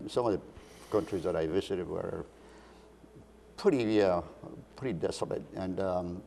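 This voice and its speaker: An older man from Colombia, answering interview questions in a halting way with many pauses. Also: sits low, creaky, a little mellow. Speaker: guest, council chair. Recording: echoey room with faint hiss.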